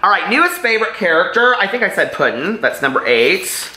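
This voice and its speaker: A man with a bright, forward voice speaks casually and directly to his viewers. He gushes and rambles, leaning hard on words for emphasis. The recording is roomy, with audible echo around the voice. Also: sits high, big pitch swings, brisk. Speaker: book reviewer vlogger. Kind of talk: casual chatty book review to camera. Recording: roomy, echoey room sound.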